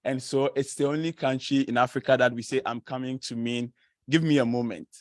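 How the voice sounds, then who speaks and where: A young Ghanaian man gives a casual student presentation in a close, dry-sounding space. His voice sits at an ordinary middle pitch. He chats easily, laughs often and fills pauses with "uh". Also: fairly even intonation, no room sound. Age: young adult. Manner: chatty, laughs often, many fillers. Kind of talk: informal presentation to an audience.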